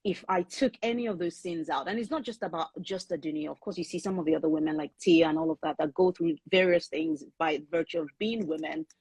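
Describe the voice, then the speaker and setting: A woman's voice: sits low, fairly quick, hardly any pauses, darkish tone, breathy edge, dry recording, low-quality recording. A woman whose first language is Yoruba, speaking as an author in an interview, thoughtful and earnest, with small restarts as she explains her writing. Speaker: author and guest. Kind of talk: conversational interview.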